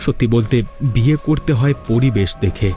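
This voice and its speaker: A man's voice: medium pitch, moderate pace, mellow, faint music behind, close to an everyday voice. A man playing a stubborn young husband and son in a cartoon drama, earnest and argumentative. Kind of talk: dubbed cartoon dialogue.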